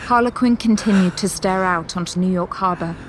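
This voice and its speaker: A woman giving scripted, measured, literary narration for an audio drama. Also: lowish voice, slight background noise.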